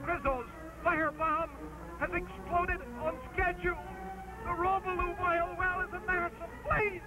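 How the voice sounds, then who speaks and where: High-pitched man, theatrical storybook narrator. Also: flat delivery, a little slow, low-quality recording. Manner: theatrical, emphatic. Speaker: narrator, live stream host. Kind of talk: dramatic reading, old-fashioned children's adventure narration. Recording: music under the narration.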